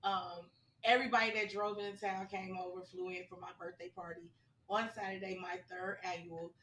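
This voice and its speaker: A woman co-hosting a podcast in casual, slangy chat, her voice hoarse. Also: medium pitch, brisk, pushed and bright. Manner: chatty, slangy, laughing. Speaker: podcast co-host. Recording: roomy, distant mic.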